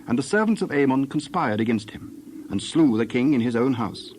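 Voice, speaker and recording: A man's voice: mid-range voice, animated, fairly quick, a little mellow, slight background noise, rough recording. A man giving a scripted narration of scripture as the narrator of a dramatized reading.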